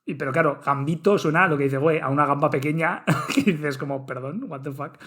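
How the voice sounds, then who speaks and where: A man co-hosting a podcast in casual chat, restarting his sentences as he goes. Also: on the high side. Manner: fast, animated, restarts sentences often. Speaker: podcast co-host.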